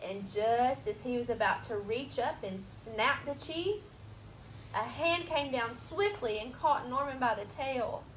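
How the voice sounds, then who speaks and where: A woman reading a children's storybook aloud expressively, pausing for asides and questions to young listeners. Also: fairly high pitch, animated. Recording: roomy echo, noticeable background hiss.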